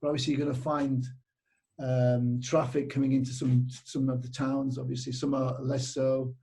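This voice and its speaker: A man's voice: medium pitch, little pitch movement, moderate pace, creaky, dark tone. A middle-aged man with a British accent, a cycling tour operator chatting casually in an interview, rambling with lots of fillers and restarts.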